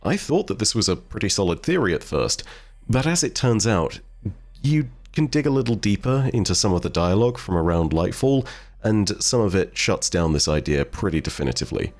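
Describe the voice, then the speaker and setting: A man giving explanatory video game lore commentary to viewers in a measured, articulate, conversational way. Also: fairly low pitch, big pitch swings, darkish tone. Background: faint music.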